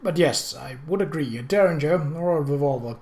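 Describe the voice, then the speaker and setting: Police surgeon, played by a male reader: professional, clinical, a touch gruff, slightly raised pitch, formal audiobook dialogue. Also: lively intonation, brisk, darkish tone. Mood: agreeable.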